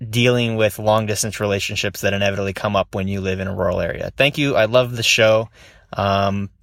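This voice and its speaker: A man's voice: on the low side, a bit bright, no room sound, studio quality. A man recording a casual question as a listener, polite, rambling and hesitant. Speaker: podcast listener sending an audio question. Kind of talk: casual, informal recorded message.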